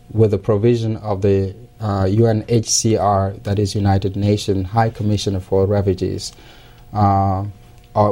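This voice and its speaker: A man from South Sudan speaks English as a learned second language, his voice low and kept flat in tone. He halts often, filling gaps with soft "uh"s as he recounts events in an earnest, steady way. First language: not English; English learned as second language. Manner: halting, many pauses and "uh" fillers, earnest. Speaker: interview guest. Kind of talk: personal testimony in interview.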